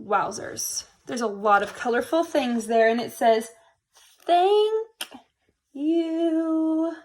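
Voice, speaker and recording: A woman reading a picture book aloud to young children in an animated, expressive way, speaking to them directly. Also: fairly high pitch, normal speed.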